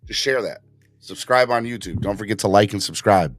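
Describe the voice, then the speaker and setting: A man hosting a casual live sports fan podcast, urging viewers to subscribe, with his voice just back after being hoarse. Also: dry recording. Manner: animated, jumps into high put-on voices for quick bits. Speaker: podcast host.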